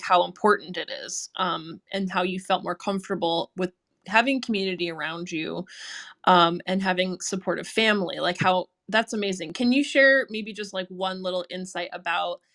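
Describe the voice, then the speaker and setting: A woman's voice: mid-range voice, fairly bright tone. An American woman hosting a round table, interviewing casually with hesitant, tentative phrasing. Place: remote audio chatroom call.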